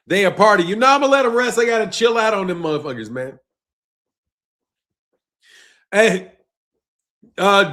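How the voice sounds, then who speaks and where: High-voiced man in his fifties ranting casually. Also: fairly bright tone. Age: 50s. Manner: loose and emphatic, heavy on "bro" and "man", trailing off low at phrase ends. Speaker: boxing channel host. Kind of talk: casual live-stream rant reading chat.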